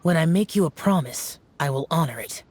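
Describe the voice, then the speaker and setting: A man's voice: a little high, animated, brisk, faint music behind, close to an everyday voice. A man voicing a stoic, aloof young immortal male guardian in a video game, terse, solemn and formal.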